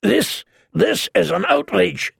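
A man's voice: high voice, expressive, takes it slowly, creaky, husky, no room sound, studio quality, voice pushed up, full character voice. A man doing a sputtering pompous old lord. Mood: outraged. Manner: sputtering, outraged.

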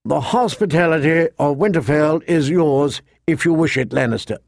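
A man's voice: fairly high pitch, gravelly, dry recording, studio quality. A man voicing a proud but unsure young lord in an audiobook, stiff and guarded in manner. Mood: stiff, apologetic. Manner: halting, guarded. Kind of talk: audiobook character acting.

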